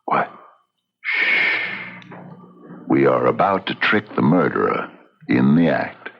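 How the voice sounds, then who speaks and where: Very deep, gravelly frontier sleuth. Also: male voice, lively intonation, full character voice. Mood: hushed, confident. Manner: slow, solemn, scripture-quoting. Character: gravelly devout frontier detective, calm, cryptic, certain. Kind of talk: radio mystery drama acting. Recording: dull lo-fi radio recording with faint music.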